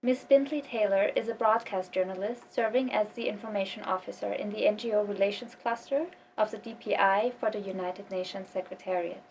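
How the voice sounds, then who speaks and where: A young woman reads a formal interview introduction in a careful, measured way. She sits a little back from the mic, with a faint hiss in a small office. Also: medium pitch, fairly even intonation, a little mellow, recorded from a distance, rough recording. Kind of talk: scripted introduction and interview questions.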